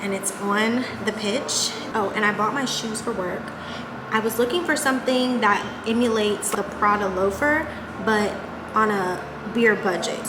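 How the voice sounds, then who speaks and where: A young woman vlogging to her viewers in a casual, chatty, upbeat way, with a soft, girlish voice. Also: mid-range voice. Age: young adult. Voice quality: says she has a baby voice. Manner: chatty and upbeat, many fillers like "like". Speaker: lifestyle vlogger. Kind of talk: casual vlog chat to camera. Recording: echoey room with loud background noise.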